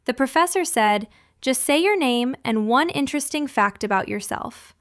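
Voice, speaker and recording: A young woman from California co-hosting an English-learning podcast, speaking clearly like a teacher and laughing often. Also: high voice, expressive, normal speed, no echo, clean studio sound. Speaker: podcast co-host.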